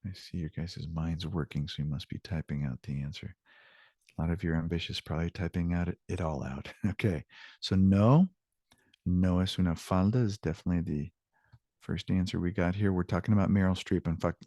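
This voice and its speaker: Male online language teacher, lowish voice, dry close sound, informal and encouraging, bilingual delivery. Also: steady tone, fairly quick, mellow, no echo. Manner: informal, encouraging, switches between English and Spanish. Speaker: Spanish teacher. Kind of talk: online language lesson, explaining examples. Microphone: video call.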